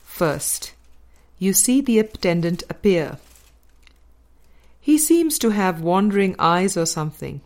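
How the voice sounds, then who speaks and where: A woman with a fairly low voice reads a spiritual book aloud. Her pace is very slow, and each phrase is measured and deliberately placed, in the manner of an audiobook narrator. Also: lively intonation, a little mellow. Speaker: audiobook reader.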